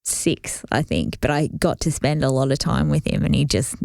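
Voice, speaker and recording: A woman's voice: deep voice, moderate pace, vocal fry, a little mellow, no room sound, clean studio sound. A woman co-hosting a podcast, telling a rambling family story in casual chat. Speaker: podcast co-host.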